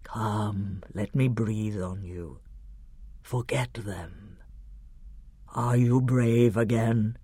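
Guy doing a big solemn storybook lion, deep n slow, fatherly, kingly, dry close recording. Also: mellow, no echo, full character voice. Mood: gentle, solemn. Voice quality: deep, breathy-slow delivery. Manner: slow, deliberate. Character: great lion, male, majestic, fatherly, commanding, solemn.

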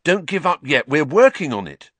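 A man's voice: mid-range voice, wildly swooping pitch, normal speed, fairly bright tone, dry recording, voice pushed up. A man voicing a loyal, awkward teenage boy wizard who talks fiercely and bluntly. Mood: urgent.

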